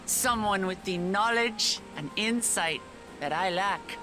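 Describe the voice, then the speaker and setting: A woman's voice: medium pitch, slow pace, over music, natural voice. A woman playing an earnest, idealistic young female heir to a throne in a video game cutscene, speaking in heartfelt speeches. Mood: resolute, inviting.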